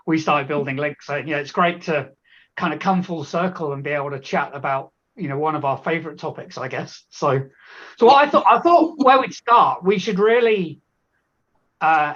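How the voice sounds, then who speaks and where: Higher-voiced man hosting, slightly muffled. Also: fairly bright tone. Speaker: company chief executive hosting a webcast. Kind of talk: conversational interview. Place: online webcast. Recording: dull, band-limited web call audio.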